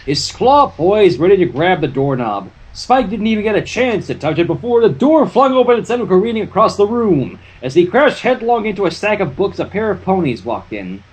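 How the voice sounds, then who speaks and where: Animated story reader, husky voice, scripted fan fiction narration with offhand asides. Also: mid-range voice, brisk, hardly any pauses, rough recording.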